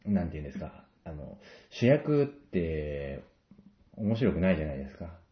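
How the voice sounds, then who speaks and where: A man's voice: very deep voice, very animated, normal speed. A man chatting casually as an interview guest, self-deprecating and joking, with a hesitant way of explaining himself. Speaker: guest, stage director and actor. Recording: roomy, dull lo-fi audio.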